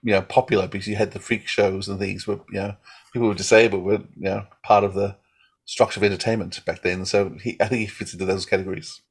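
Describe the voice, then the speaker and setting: Podcast co-host: male, lowish and husky, fast, repetitive casual delivery. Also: level tone. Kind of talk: casual chat.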